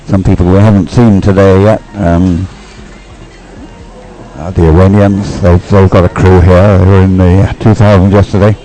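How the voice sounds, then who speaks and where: Deep-voiced man rambling through live sports commentary. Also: flat delivery, normal speed, warm tone, faint music behind, low-quality recording. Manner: rambling, hesitant, thinking aloud. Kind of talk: live dragon boat race commentary, unscripted.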